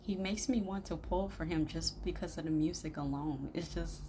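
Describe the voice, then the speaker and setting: A young woman giving casual, expressive reaction commentary in an informal, admiring way. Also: low voice, moderate pace, heavy background noise. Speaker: reaction video host.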